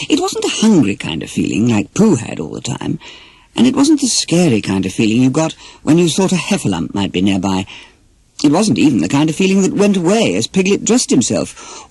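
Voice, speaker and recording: A man reads a children's tale in a mid-pitched, mellow voice. His intonation swoops very widely, and the sound is close, dry and a little husky. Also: wildly swooping pitch, fairly quick, no room sound, low-quality recording. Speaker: storyteller reading a children's audiobook. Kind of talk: expressive scripted children's story narration.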